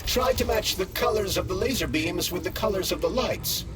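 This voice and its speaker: An actor playing a polite, patient artificial-intelligence companion in a video game, speaking formally and precisely. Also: male voice, high-pitched, expressive, moderate pace, over music. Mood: helpful, composed. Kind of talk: video game character dialogue.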